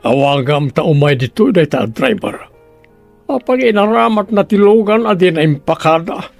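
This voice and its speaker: A man's voice: a little high, lively intonation, moderate pace, creaky, no room sound, soft background music. A man playing a suspicious, questioning member of a well-off household in an Ilocano radio drama. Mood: suspicious, demanding.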